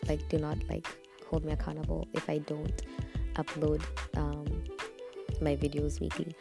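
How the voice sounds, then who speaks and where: A woman's voice: deep voice, monotone, unhurried, gravelly. A woman chatting informally as a solo podcast host, telling things in a casual, conversational way. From Botswana, southern Africa. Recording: roomy echo, faint music.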